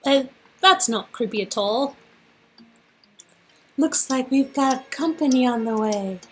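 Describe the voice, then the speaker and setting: A woman's voice: high-pitched, expressive, slow. A woman performing game dialogue in an amateur acted reading, with some noise behind her. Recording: noisy, ambience behind.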